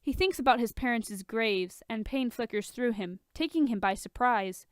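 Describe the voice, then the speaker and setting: A woman's voice: a little high, expressive, normal speed, smooth, no echo, clean studio sound. A woman reading a fan fiction story aloud as scripted narration. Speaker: audiobook reader of fan fiction.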